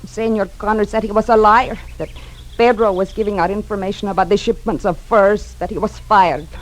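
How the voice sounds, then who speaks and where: A woman's voice: medium pitch, fairly even intonation, fairly quick, natural voice. An actress playing a distraught older mother with a Spanish accent in an old-time radio drama. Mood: anxious, aggrieved. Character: distraught older Spanish-speaking mother pleading for her son.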